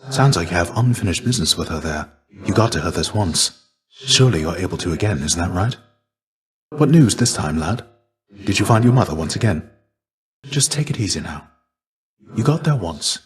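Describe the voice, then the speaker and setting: Game role: a low-voiced veteran warrior turned mentor, measured, earnest, gently weary and formal. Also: male voice. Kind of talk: scripted video game voice acting.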